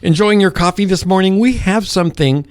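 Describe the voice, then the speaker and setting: A man's voice: high voice, brisk, no echo. A man hosting a radio morning show, talking casually and interviewing a guest in a studio.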